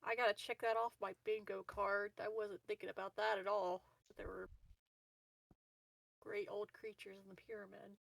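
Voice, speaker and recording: A woman with a creaky, mid-pitched voice chats casually as a tabletop game player. She is hesitant and wordy with a wry edge, recorded a little off the mic in a very dry space. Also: little pitch movement, normal speed, dry recording, recorded from a distance, poor audio.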